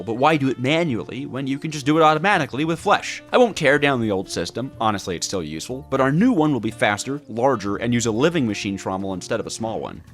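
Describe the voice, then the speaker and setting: A male gaming narrator with a very lively, swooping delivery. He reads scripted story narration in a theatrical, mock-grandiose manner, with light music behind him. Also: mid-range voice.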